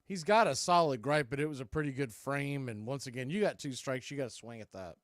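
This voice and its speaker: A man's voice: mid-range voice, fairly quick, dry recording, clean studio sound. A man calling live baseball play-by-play for radio in clipped, punchy phrases. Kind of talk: live radio sports commentary.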